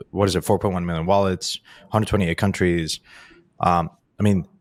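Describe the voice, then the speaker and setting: A man's voice: deep voice, no echo. A man hosting a podcast, asking long, rambling questions full of restarts and "like". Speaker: podcast host.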